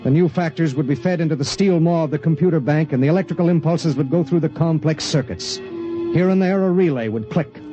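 An American actor playing a grave spaceship pilot, regretful but unbending, in earnest, measured old-time radio drama style. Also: male voice, a little high, highs cut off, close to an everyday voice. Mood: somber narration. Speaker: actor and first-person narrator. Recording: vintage radio recording with music behind.

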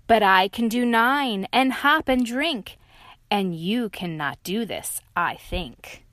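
A woman's voice: expressive, slow, no echo, clean studio sound. A woman playing a bragging storybook tiger. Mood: boastful. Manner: bragging, loud and high. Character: boastful male storybook tiger stacking apples.

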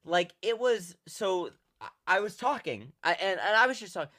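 Young male podcast co-host, trained theater projection, bright voice, lively swings in pitch. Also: moderate pace. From Rhode Island. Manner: loud, projecting, animated storyteller. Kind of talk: casual chat.

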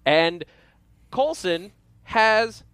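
A man's voice: sits high, expressive, extremely slow, a bit bright, clean tone, dry recording. A man hosting an entertainment news show, chatting upbeat and loosely with lots of filler. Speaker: show host. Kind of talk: casual entertainment news chat.

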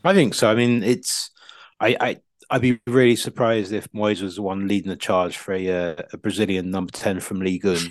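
A man with a fairly low voice co-hosts a football podcast in a casual style. He thinks aloud, tentative and full of qualifications, in a very dry recording. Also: fairly even intonation, brisk, no room sound. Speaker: podcast co-host.

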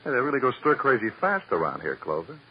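An actor playing a cocky, hot-tempered murder suspect with flippant, slangy talk in an old-time radio crime drama. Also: male voice, mid-range voice, wildly swooping pitch. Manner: flippant, slangy, quick to snap. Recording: muffled, noisy vintage radio recording.

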